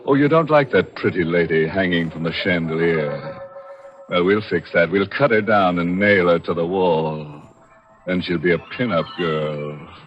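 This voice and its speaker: An actor playing a ghoulish, darkly jovial horror show host with a mock-solicitous, savoring delivery in 1940s radio style. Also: male voice, low-pitched, unhurried. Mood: gleefully macabre. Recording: muffled vintage 1940s radio broadcast, organ music.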